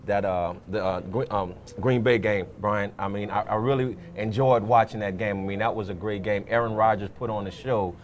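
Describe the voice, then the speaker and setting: A man's voice: lowish voice, fairly even intonation, normal speed, hardly any pauses. A man giving unscripted, opinionated sports analysis as a panelist, repeating his points for emphasis.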